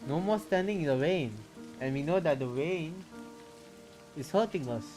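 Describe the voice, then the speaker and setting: Higher-voiced man reading dramatically. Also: expressive, moderate pace, a little mellow, faint music behind. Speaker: streamer. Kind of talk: dramatic read-aloud of a visual novel with casual reactions.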